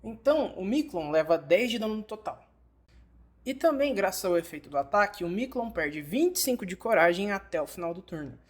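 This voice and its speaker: A woman's voice: medium pitch, expressive, brisk. Brazilian woman narrating a tutorial. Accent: Brazilian Portuguese. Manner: explanatory, addresses the listener directly. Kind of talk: scripted instructional narration, fan dub.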